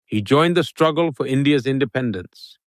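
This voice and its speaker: A man giving an interview guest's answers in English, with a steady, formal delivery like a prepared speech. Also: medium pitch, normal speed, no room sound, studio quality.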